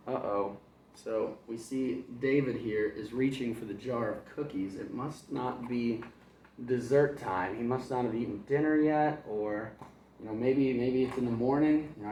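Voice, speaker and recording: A man's voice: medium pitch, warm tone, a little hiss or hum. A man, a kindergarten teacher, reading a picture book aloud to children with chatty, rambling asides and chuckles. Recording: echoey room.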